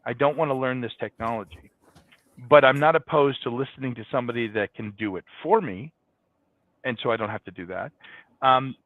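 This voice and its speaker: A man's voice: medium pitch, dry recording. A man giving conversational, explanatory answers as a guest in a business interview. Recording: dull, band-limited, dry sound.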